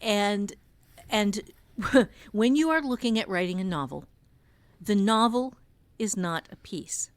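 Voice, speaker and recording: A woman's voice: mid-range voice, animated, takes it slowly, no room sound. A woman author and writing teacher, a podcast guest, giving practical, encouraging advice in casual conversation.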